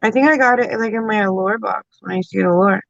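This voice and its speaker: A woman's voice: medium pitch, fast, vocal fry, no echo, lo-fi sound. A woman co-hosting a casual livestream, easygoing, giving short replies. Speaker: livestream co-host.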